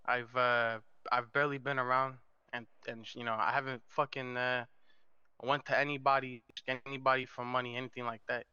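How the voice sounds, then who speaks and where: A man playing an apologetic, defensive mob captain in an online game roleplay, speaking haltingly over slightly dull voice chat. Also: mid-range voice, level tone, bright, forceful tone, smooth, no echo. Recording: dull, band-limited voice chat.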